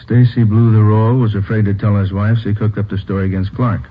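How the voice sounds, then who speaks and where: Man as a curt low-voiced police lieutenant. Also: little pitch movement, brisk, close to an everyday voice. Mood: brisk, probing. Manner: curt, probing. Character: blunt, businesslike male police lieutenant. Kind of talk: 1950s radio drama acting. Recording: tinny, noisy old radio recording.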